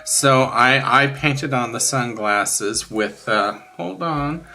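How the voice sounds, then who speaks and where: A man in his sixties talks casually as a craft channel host. His voice is bright and sharp at an average pitch. He rambles candidly and leans hard on his opinions, with soft music underneath. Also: slow pace. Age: 60s. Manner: rambling, candid, emphatic about likes and dislikes. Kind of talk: casual chat showing finished coloring pages.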